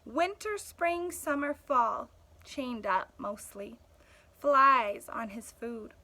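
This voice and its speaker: Streetwise male stray dog played by a woman, storybook narrator, blunt and sardonic, bright, high pitch. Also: takes it slowly. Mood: wry, animated. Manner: sardonic, punchy, conversational. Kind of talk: storybook first-person narration.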